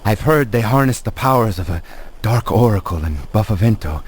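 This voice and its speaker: An actor playing an earnest, worried medieval resistance fighter in a scripted video game cutscene. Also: male voice, lowish voice, animated, brisk, slightly rough. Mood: worried, urgent.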